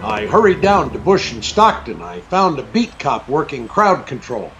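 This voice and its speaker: An actor playing a cool, brisk, sardonic private detective in an old-time radio drama, with a clipped, take-charge manner. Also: male voice, on the high side, big pitch swings, fairly bright tone, faint music behind. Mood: matter-of-fact. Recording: dull lo-fi radio-play recording.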